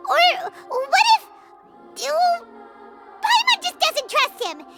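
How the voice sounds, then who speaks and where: A woman voicing a tiny, feisty female fairy companion who blurts out shrill, excitable outbursts. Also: takes it slowly, exaggerated voice. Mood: indignant. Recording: game audio with loud music.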